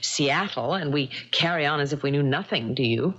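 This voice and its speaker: Husky, poised wronged wife, radio drama. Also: female voice, low-pitched, lively intonation, normal speed, a bit bright. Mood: calculating, commanding. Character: younger wronged wife, a cool, scheming, proud novelist. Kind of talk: 1970s radio mystery drama acting. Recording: slightly dull vintage radio recording.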